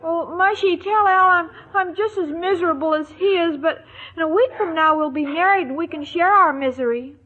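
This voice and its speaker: An actress playing a dim, sweet, lovesick young bride-to-be, naive and plaintive, in a 1940s radio comedy. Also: female voice, normal speed, fairly bright tone. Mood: miserable, pining. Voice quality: high girlish voice. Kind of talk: 1940s radio sitcom acting. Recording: muffled 1940s radio recording.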